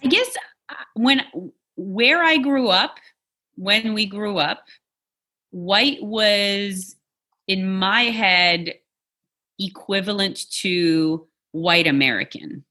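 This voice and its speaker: Bright-voiced earnest woman guest. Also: medium pitch. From Poland, grew up in New York. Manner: thoughtful, earnest, measured. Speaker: podcast guest. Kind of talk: casual interview chat.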